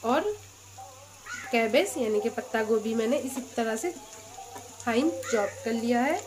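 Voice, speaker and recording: A woman's voice: on the high side, lively intonation, a little slow, background noise. A woman hosting her own cooking channel, talking through each step of a recipe in a chatty, patient way from her home kitchen. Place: home kitchen by a gas stove.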